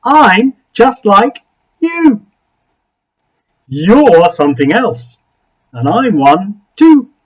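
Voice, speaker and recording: A man's voice: sits high, very animated, drawn-out words, long pauses, husky, voice pushed up, heavily acted. A man voicing a friendly, forward creature in a children's story, exclaiming in an upbeat, insistent way. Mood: eager insistent. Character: cheery, forward, friendly creature newcomer, eager to befriend.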